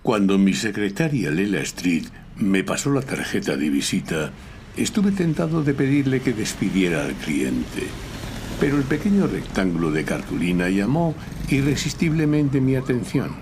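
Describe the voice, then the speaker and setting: Deep, gravelly male lawyer narrator. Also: sing-song, moderate pace, darkish tone, slight background noise. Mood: dry, narrating. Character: dry, self-important male lawyer narrating his case. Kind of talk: noir-parody audio drama narration.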